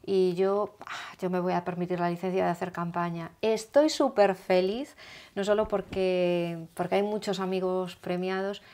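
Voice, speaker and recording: A woman's voice: fairly low pitch, fairly even intonation, normal speed, dark tone. A woman hosting a talk show, chatting casually about celebrities and laughing often. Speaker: host. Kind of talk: casual chat on a gossip show.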